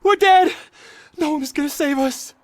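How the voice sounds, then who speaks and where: A man's voice: very high voice, normal speed. A man playing a scared, loyal teenage petty thief in a video game, anxious and pleading. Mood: panicked, pleading.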